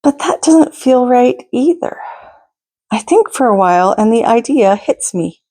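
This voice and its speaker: A woman reading a picture book aloud to children as a storytime host, in a deliberate, expressive manner with asides to her listeners. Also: on the high side. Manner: deliberate, expressive, pauses to ask listeners questions.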